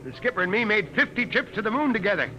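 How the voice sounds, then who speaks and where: Eager old spaceship radio guy, folksy, high swoopy voice, dull old radio sound. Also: very animated, fast talker, pushed and bright, slight background noise, exaggerated voice. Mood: pleading. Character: older male veteran space radio man, loyal, pleading. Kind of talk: 1950s radio drama acting.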